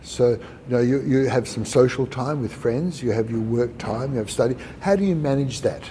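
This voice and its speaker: Mellow-voiced male podcast host. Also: mid-range voice, slight background noise. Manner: measured, repeats and sums up answers. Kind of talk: conversational interviewing.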